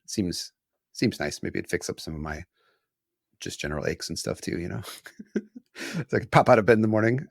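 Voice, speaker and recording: A man's voice: deep voice, gravelly, no echo, studio quality. A man co-hosting a podcast, talking casually in a rambling, hesitant way with lots of fillers. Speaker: podcast co-host. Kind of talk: casual conversation.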